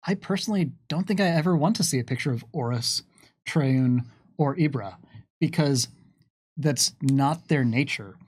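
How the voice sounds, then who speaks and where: A man's voice: mid-range voice, a little mellow, dry recording, studio quality. A man hosting a livestream, chatting casually through viewer questions in a wry, musing way with playful asides. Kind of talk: casual game lore Q&A chat.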